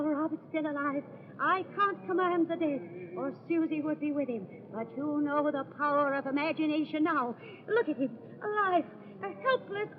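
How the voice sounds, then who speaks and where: An actress playing a scheming, vengeful young bride in an old-time radio horror play, with a theatrical, deliberate and insinuating delivery. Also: female voice, very high-pitched. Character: scheming, vengeful young bride luring her guilty husband. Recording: tinny, noisy old radio broadcast recording.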